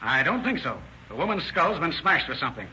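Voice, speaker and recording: A fire chief in an old radio drama, talking fast in a hard, piercing tone. He is clipped and used to giving orders. The recording is dull with faint noise. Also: a little high, very animated, sharp tone, exaggerated voice. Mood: grim, businesslike. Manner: clipped, authoritative. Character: brisk, commanding male fire battalion chief, grimly observant. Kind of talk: 1930s radio drama acting.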